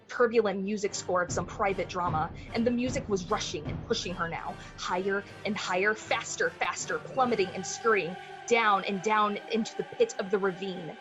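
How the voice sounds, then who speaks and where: A woman's voice: mid-range voice, close to an everyday voice, low-quality recording. A woman playing the storyteller of a thriller, narrating intensely and with suspense in the manner of a 1940s radio play. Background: music.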